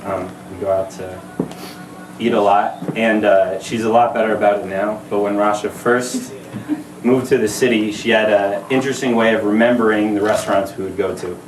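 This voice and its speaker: Man giving a groom's toast, low voice, halting and full of fillers, echoey room, heard from across it with loud background noise. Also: steady tone, recorded from a distance. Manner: halting, many fillers, laughing asides. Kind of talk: casual toast at a party. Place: party venue with crowd.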